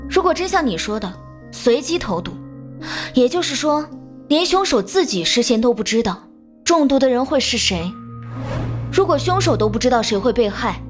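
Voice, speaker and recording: Sharp skeptical young woman, animated pitch. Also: moderate pace, soft background music. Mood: challenging. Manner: challenging, pointed. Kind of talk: animated crime drama acting.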